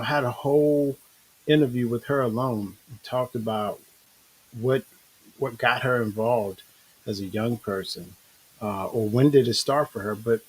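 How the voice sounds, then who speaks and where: A middle-aged man hosting a casual online talk show, relaxed and rambling with many restarts. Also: mid-range voice, breathy edge, poor audio. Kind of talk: casual live-stream talk.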